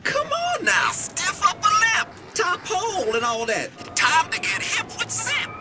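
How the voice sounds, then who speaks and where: An actor playing a brash, cheeky mission handler in a video game, with slangy, mocking, upbeat delivery. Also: male voice, extremely high pitch, sing-song, measured pace, exaggerated voice. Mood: teasing. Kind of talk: video game cutscene acting. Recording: loud music and effects behind.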